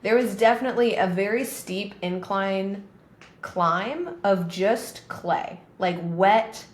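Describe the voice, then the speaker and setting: A woman's voice: medium pitch, slow. A woman in her thirties, co-hosting a podcast, telling stories in a chatty, casual way with many fillers. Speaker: podcast co-host. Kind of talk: casual conversation. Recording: roomy with faint background noise.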